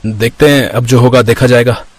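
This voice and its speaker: A man's voice: mid-range voice, steady tone, moderate pace, a little mellow, no echo, lightly acted. A man playing a young husband in a family story, earnest and plain-spoken. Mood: concerned.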